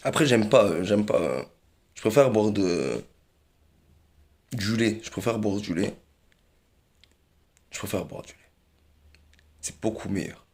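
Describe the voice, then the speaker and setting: A man's voice: lowish voice, animated, unhurried, creaky, long pauses, darkish tone. A man rambling in a casual monologue, repeating himself often, with many fillers and little chuckles.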